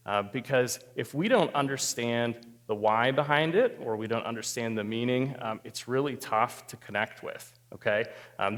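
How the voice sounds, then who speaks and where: Man speaking in a long-echoing hall. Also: medium pitch. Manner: relaxed, self-deprecating humor. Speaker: guest speaker, marriage therapist. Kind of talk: talk to a live audience.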